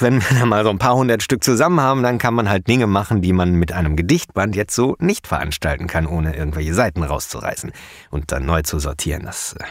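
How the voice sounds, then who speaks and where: A man hosting his own podcast, explaining in a chatty, relaxed way with frequent fillers. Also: low voice, dry recording, clean studio sound. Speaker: podcast host and poet.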